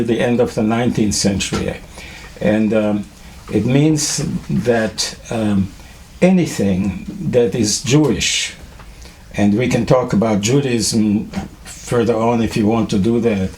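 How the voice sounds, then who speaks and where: An older man from Czechoslovakia whose first language is German, telling students about his early life in a halting way, with many fillers and restarts. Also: on the low side, darkish tone, slight background noise, low-quality recording. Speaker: Holocaust survivor speaking to students. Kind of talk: testimony to a school class.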